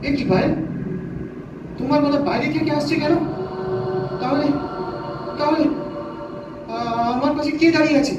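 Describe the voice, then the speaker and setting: An actor playing a terrified young husband in a horror audio drama, pleading and stammering in panic. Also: male voice, very high-pitched, moderate pace, long pauses, dull audio. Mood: frightened, bewildered. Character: terrified young husband trapped in a haunted bungalow. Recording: noisy, echoey, distant from the mic.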